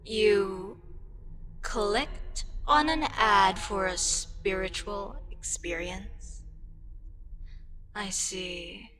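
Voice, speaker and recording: A woman playing a frustrated, condescending, wryly amused eldritch deity in an ASMR audio roleplay, with a dryly sarcastic, unhurried manner. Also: mid-range voice, fairly bright tone, slight background noise, low-quality recording. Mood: curious, bemused.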